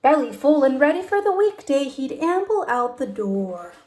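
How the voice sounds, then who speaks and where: Female teacher for children's read-aloud: high voice, very lively intonation, deliberate expressive storytelling.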